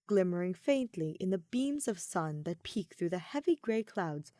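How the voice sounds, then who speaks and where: A woman reading scripted fiction as a narrator, with expressive, measured storytelling. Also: on the low side, no echo, clean studio sound. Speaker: podfic narrator.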